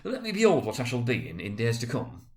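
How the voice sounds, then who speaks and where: A man's voice: medium pitch, huge pitch swings, a little mellow. A man voicing a frightened, repentant old miser who stammers and pleads in a dramatic storybook reading. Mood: hesitant, questioning. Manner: stammering, halting, urgent.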